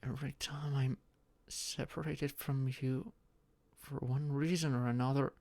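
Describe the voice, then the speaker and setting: A young man playing a shy, anxious friend in an intimate audio roleplay, speaking haltingly with sighs and murmurs. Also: mid-range voice, fairly even intonation, darkish tone, dry recording. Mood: downcast, fragile. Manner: halting, sighing, breathy murmurs rising to tearful outbursts.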